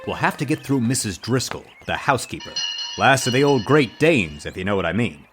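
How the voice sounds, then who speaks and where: A sly, chummy deliveryman from an old radio detective show. His slangy patter is breezy and extremely animated, swooping up and down in pitch. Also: a little fast, faint music behind. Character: breezy wisecracking bootlegger-type deliveryman. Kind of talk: parody of old-time radio detective drama.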